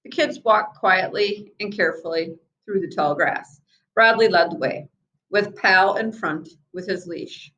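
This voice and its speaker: A woman reading a children's book aloud in an expressive storyteller's manner, with small asides to her listeners. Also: medium pitch, unhurried, highs cut off. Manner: expressive, acts out the children's dialogue.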